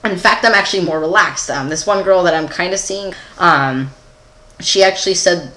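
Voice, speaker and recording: A man's voice: sits high, normal speed, bright, forceful tone. A young adult man giving a casual, candid personal video update in a rambling, giggly, self-deprecating way. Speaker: vlogger.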